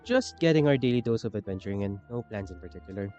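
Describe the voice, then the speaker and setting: A woman's voice: very deep voice, lively intonation, fast, dark tone, dull audio. A woman streaming a game, chatting casually and reading dialogue aloud. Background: faint game music.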